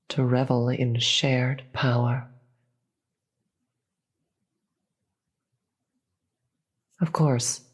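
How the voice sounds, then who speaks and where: Slow, breathy demon queen, acted out. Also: medium pitch, a little mellow, highs cut off. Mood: proud, disdainful. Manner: deliberate, theatrical, grandiose speech with dramatic pauses. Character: proud, imperious demon queen, female, contemptuous of gods. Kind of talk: scripted fantasy audio roleplay monologue.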